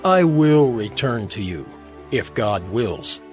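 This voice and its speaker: A man's voice: medium pitch, very animated, slow pace, faint music behind. An actor playing a bold, righteous male apostle in a dramatized audio Bible, speaking emphatically. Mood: solemn.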